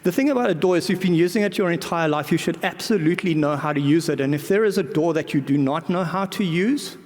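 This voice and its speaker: A man's voice: fairly high pitch, fast talker. A man giving an informal conference talk in a conversational, wryly humorous manner. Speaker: conference speaker. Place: large indoor conference venue. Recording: echoey hall reverb.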